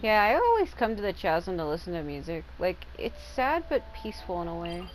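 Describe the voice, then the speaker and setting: A woman's voice: fairly low pitch, big pitch swings, a little fast, a little hiss or hum, low-quality recording. A woman giving casual, informal commentary as she plays a video game. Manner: informal, swears freely. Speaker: gaming video creator. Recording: game music behind the voice.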